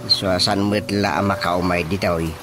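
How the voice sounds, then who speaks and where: A man's voice: sits low, flat delivery, normal speed, close to an everyday voice. An actor with an Ilocano accent playing a sly, grumbling village chairman in a comic radio drama. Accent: Filipino, Ilocano. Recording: radio drama with music underneath.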